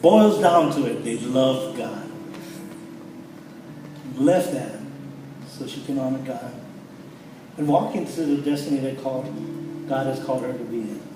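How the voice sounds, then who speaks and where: A pastor from the Philippines, excited and emotional, introducing a guest at a church launch. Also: male voice, medium pitch, a little slow, long pauses, background noise. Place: large church hall. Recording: echoey hall, distant mic.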